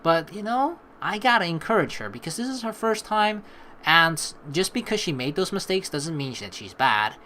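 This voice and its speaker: Animated young man doing a let's play. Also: high voice, normal speed, slight background noise. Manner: animated, chatty, reacting as he reads. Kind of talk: let's play commentary, reading game text aloud.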